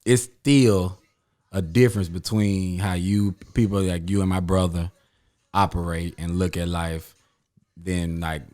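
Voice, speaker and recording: A man's voice: low-pitched, fairly even intonation, measured pace, a little mellow. A man, rambling loosely through a casual chat with friends as the host. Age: 30s. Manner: rambling, loose, uses slang and fillers. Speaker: podcast host.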